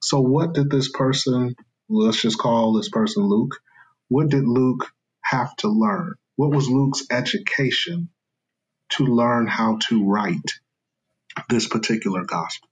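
A man's voice: mid-range voice, slow, breathy edge, low-quality recording. A man speaking as a scholar guest in an interview, explaining carefully in a lecturing way with fillers and restarts.

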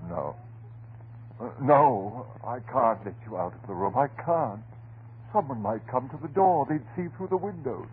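Actor as a frantic husband, wildly swinging voice. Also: male voice, mid-range voice, expressive, close to an everyday voice. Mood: coaxing. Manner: melodramatic, pleading, halting. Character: frantic, devoted, unhinged husband guarding his transformed beloved. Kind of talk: vintage horror radio drama acting. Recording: muffled, noisy old radio recording.

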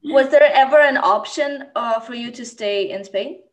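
Brief: attentive woman host, slightly high voice, encouraging interviewer. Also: normal speed, highs cut off. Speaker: podcast host. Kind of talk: casual interview chat.

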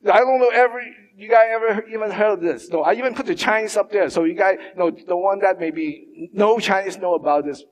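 Guy preaching in a church, kinda high voice, lots of uh and restarts, big hall echo. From Hong Kong. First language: Mandarin or Cantonese. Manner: halting, many fillers and restarts. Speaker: pastor. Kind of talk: sermon. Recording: long church hall reverb.

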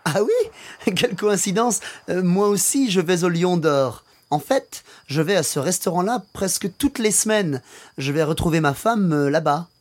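A man's voice: high voice, animated, moderate pace. A man playing a friendly, obliging Frenchman in a radio play for learners. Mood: friendly, helpful. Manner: chatty, obliging. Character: helpful, friendly Frenchman giving directions to tourists.

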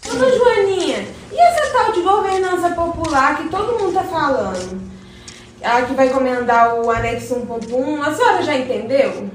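Radio drama role, for a woman: sincere young neighbour, high-pitched, very echoey recording. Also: lively intonation, normal speed. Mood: curious. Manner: earnest, inquiring, respectful. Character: Margarida, earnest pregnant younger neighbour woman. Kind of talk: scripted community radio drama. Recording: very echoey, distant mic, faint noise.

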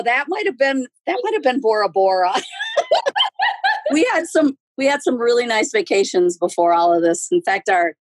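Chatty woman in her sixties, husky. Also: mid-range voice, dry recording. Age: 60s. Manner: chatty storyteller, laughs often. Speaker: podcast guest. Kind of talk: casual interview chat.

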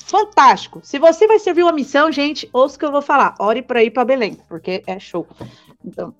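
Guest: high, bubbly São woman in her twenties, slangy and laughing, on slightly muffled audio with light music. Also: brisk. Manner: excitable, laughing often, slangy. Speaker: podcast guest, returned missionary. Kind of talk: casual interview chat.

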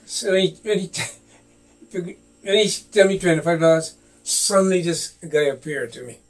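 An older man with a Guyanese accent and a slightly high voice. He tells stories from his youth in a relaxed chat with his son and laughs often as he talks. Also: unhurried. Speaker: guest, host's father. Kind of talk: storytelling in casual chat.

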